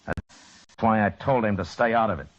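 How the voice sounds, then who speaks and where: An actor playing a stern, weary marshal in an old-time radio western, terse, grave and plain-spoken. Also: male voice, lowish voice, brisk, natural voice. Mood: grave, regretful. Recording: dull, noisy old radio broadcast recording.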